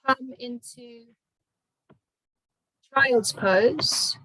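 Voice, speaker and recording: A woman teaching restorative yoga, giving calm, soothing guidance with long pauses between phrases. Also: fairly high pitch, poor audio. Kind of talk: guided restorative yoga instruction.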